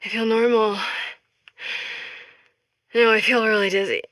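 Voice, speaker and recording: A woman's voice: a little high, fairly even intonation, fairly bright tone, no echo. A woman playing an exhausted, unfit messenger in a comedic game, badly out of breath, wheezing and gasping out flustered fragments. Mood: breathless, urgent. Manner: halting, flustered, gasped fragments. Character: exhausted, unfit female messenger gasping to tell big news. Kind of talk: comedic video game character monologue.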